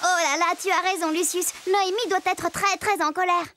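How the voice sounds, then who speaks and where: A woman voicing an expressive storyteller in a children's cartoon, with a dramatic, exclaiming manner. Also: extremely high pitch, moderate pace. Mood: dismayed. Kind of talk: animated storytelling for small children.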